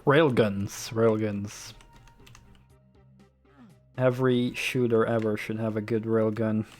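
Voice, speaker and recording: A man's voice: on the low side, flat delivery, takes it slowly, darkish tone, faint music behind. A young man from the Netherlands with a light Dutch accent, streaming a shooter game and chatting casually with his viewers in a rambling, loose way, swearing now and then. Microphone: desktop USB microphone.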